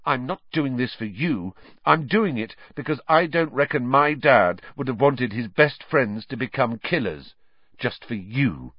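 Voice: medium pitch, lively intonation, a little slow, no room sound, dull audio, voice pushed up. A man voicing a brave, determined teenage boy, breathless, blunt and insistent, in an audiobook story. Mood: disgusted. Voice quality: breathless, panting.

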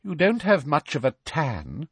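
A man reading aloud, voicing a prim, cold woman official with a falsely sweet, high manner. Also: huge pitch swings, measured pace, breathy edge, no echo, exaggerated voice.

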